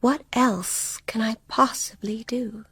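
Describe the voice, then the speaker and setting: An actress playing a weary, grieving wife in a radio play, hushed and brooding, at times slipping into a whisper. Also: female voice, on the high side, steady tone, slow, a little hiss or hum. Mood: resigned grief.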